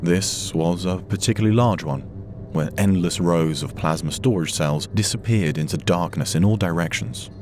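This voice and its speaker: A man narrating a dramatic science fiction story from a script in a measured, solemn way. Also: sits low, background noise. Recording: music behind the narration.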